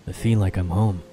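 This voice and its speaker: An actor playing a gentle, reassuring young heir to a ranch in a romantic audio drama, speaking softly with a Filipino accent. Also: male voice, deep voice. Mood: tender. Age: young adult. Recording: music bed behind voice.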